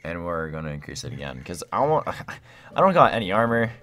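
A young man giving casual gameplay commentary in an excitable, slangy way. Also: sits low, fairly quick. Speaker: gaming video host.

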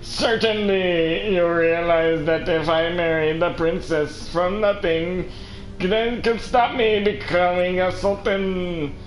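A man acts out a pretentious, scheming video game sorcerer in a roomy, echoing space. The voice is high for a man and unhurried, with theatrical, gloating pomp. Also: fairly even intonation, soft background music. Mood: haughty, menacing. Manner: pompous, drawn-out, theatrical. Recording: roomy echo, faint background noise.

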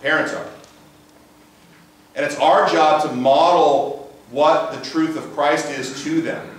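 A man's voice: on the high side, fairly even intonation, slow. A middle-aged man with a big voice, preaching a conversational sermon in a church sanctuary and asking rhetorical questions, recorded by a camera at a distance. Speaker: pastor. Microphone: far camera, room mic. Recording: echoey church sanctuary, mic from afar.

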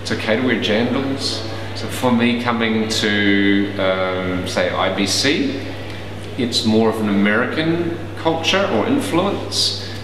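A man's voice: fairly low pitch, takes it slowly, crowd noise. A man in his forties with a New Zealand accent, talking casually in an interview with many fillers. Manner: relaxed, conversational, many fillers. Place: small room indoors. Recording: roomy echo, far from mic, hiss.

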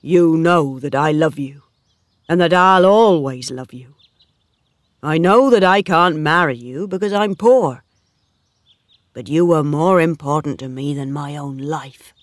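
A woman voicing an earnest, worried young male farm worker in love, calm, sad and sincere, as storybook dialogue. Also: low-pitched, measured pace, no echo, clean studio sound. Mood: sad, pleading.

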